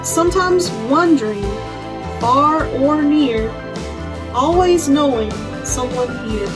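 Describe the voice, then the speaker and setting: A woman's voice: sits high, lively intonation, takes it slowly, music in the background. A woman hosting a children's storytime, explaining simply and reading a picture book aloud for young listeners. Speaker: children's librarian, storytime host.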